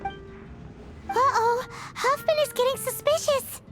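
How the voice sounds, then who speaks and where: A woman voicing a tiny, chirpy, excitable childlike fairy companion in a video game, with a squeaky voice and exclaiming manner, over loud music. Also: slow pace. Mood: nervous. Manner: exclaiming, speaks of self in third person.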